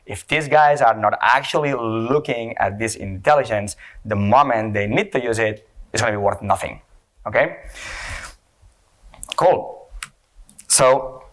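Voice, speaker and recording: A man with a Spanish accent speaks from a conference stage, his voice sitting a little on the low side. He talks in loose, searching phrases and often finishes a point with "okay?" or "you know". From Spain. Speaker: conference speaker, company CEO. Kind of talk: conference presentation. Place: conference stage before an audience.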